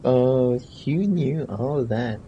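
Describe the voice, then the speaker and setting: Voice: medium pitch, expressive, drawn-out words, dull audio, a little hiss or hum. A gamer giving casual let's play commentary in offhand, muttered asides with swearing. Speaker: gamer, video creator. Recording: poor audio, loud game music behind.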